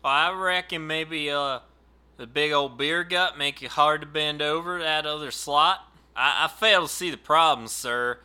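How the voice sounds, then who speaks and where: A man playing a dim rural customer service rep on the phone, speaking in a put-on Southern drawl with a slow, stammering, folksy manner. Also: on the high side, sharp tone, clean tone.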